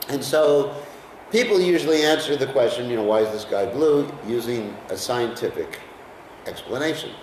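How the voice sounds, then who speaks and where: A man's voice: mid-range voice, normal speed, far from the mic. An American man leads a scripture class in an easy, conversational way, often stopping to quiz his listeners. He sounds set back from the microphone in a roomy, echoing space. A faint hum of ambience sits behind him. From United States. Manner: conversational, asks the class questions. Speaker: lecturer on scripture.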